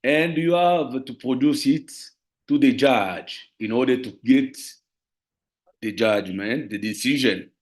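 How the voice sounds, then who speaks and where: A man from the DR Congo with a Congolese French accent, giving a formal presentation as a lawyer and teacher in careful, halting non-native English. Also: medium pitch. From Democratic Republic of the Congo. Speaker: lawyer and university teacher, guest speaker.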